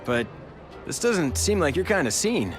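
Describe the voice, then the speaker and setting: A man's voice: fairly high pitch, sing-song, unhurried, soft background music. An actor playing a young male tech heir, friendly but busy and a bit distracted.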